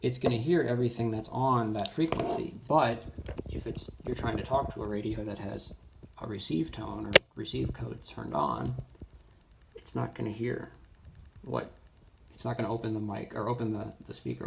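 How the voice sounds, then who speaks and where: A man with a creaky, gravelly voice walks through a hands-on tutorial in a loose, informal way. His explanations ramble a little and lean on conversational asides. The recording is clearly noisy, with clicks and typing. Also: lowish voice, expressive, normal speed. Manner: informal, rambling explanations with frequent "you know". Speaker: radio tutorial presenter. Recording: noisy, with keyboard and clicking sounds.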